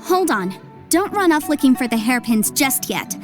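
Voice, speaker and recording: A woman's voice: high-pitched, very animated, moderate pace, soft background music. A woman voicing a confident young legal advisor in an anime game, precise, formal and courteous. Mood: analytical.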